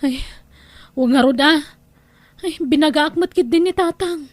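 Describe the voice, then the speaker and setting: A woman acts as a worried grown daughter in an audio drama. Her voice is very high and dryly recorded, and she complains expressively. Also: measured pace, no echo. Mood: concerned.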